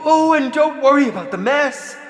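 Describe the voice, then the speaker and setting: A flamboyant, bloodthirsty film director villain, pitched extremely high for a male voice. He sneers with drawn-out theatrical politeness and swings widely up and down, echoing through a long hall as a campy game villain. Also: lively intonation, over loud music. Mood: gleefully sinister.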